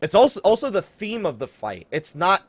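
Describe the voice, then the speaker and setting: A man's voice: high-pitched, very animated, normal speed, no room sound. A man hosting a casual gaming podcast, talking conversationally with teasing emphasis. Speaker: podcast host.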